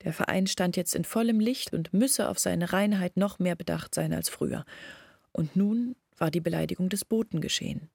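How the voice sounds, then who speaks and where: A troubled young village woman telling her story in a literary radio drama. Her voice sits a little low, with audible fry and a husky grain. The sound is very dry and close. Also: normal speed, darkish tone, dry recording. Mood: resigned sorrow. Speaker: actress narrating in a literary radio play. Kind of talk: dramatic first-person storytelling in a radio play.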